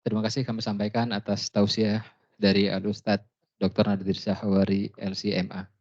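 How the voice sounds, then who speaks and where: A male event host giving formal closing remarks in a measured, flat way. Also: lowish voice. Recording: dull lo-fi sound.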